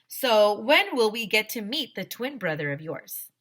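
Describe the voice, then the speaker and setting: Woman as a male school principal, storybook read-aloud, bright tone, deliberate questions, dryly doubtful. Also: medium pitch, sing-song, voice pushed up. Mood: questioning, skeptical. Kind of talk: children's chapter book read-aloud.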